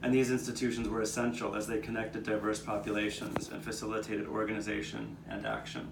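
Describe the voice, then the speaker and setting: A man's voice: on the low side, fairly quick, creaky. A man playing a 19th-century Philadelphian, earnestly narrating memories of his neighbors' struggles, occasionally stumbling over the script. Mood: earnest recollection. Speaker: narrator of a puppet show. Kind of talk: scripted first-person historical narration, storytelling. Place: outdoor courtyard, live performance. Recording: roomy, distant microphone, noticeable background noise.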